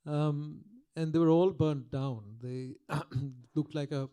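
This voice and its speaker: An older man with a delivers a public lecture in a soft, mellow voice on a podium microphone. He talks reflectively, often restarting his sentences, in a slightly raised register. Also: a little high, fairly even intonation, takes it slowly, clean tone. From Calcutta, India. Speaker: guest lecturer.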